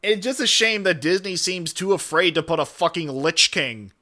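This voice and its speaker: Bright, high-voiced man streaming. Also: moderate pace. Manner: emphatic, digressive, frequent swearing. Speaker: streamer reacting to a video. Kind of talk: casual opinionated commentary.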